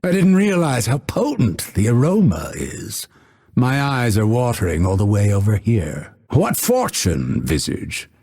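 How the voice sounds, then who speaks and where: A solemn male assassin from a video game, with a low, husky voice. He speaks slowly and deliberately, swinging widely in pitch, with a dry wit and cold menace. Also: big pitch swings, darkish tone, exaggerated voice. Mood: dry, wry. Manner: measured, deliberate, dryly sardonic delivery. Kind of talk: scripted game character voice lines.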